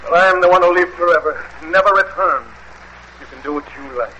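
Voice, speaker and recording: An actor playing a cruel, vengeful husband in an old radio horror drama, with theatrical, mocking, cackling delivery. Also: male voice, sits high, fast, bright, forceful tone. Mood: gloating, maniacal. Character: cruel gloating husband, mad with jealous vengeance. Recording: muffled, hissy old radio drama recording.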